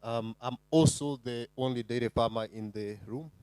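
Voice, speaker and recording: Male HR panelist, easygoing and careful, mid pitch, dry sound. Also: a little mellow, clean tone, no room sound. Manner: easygoing, slow and careful, lots of ums. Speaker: HR consultant. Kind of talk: panel discussion.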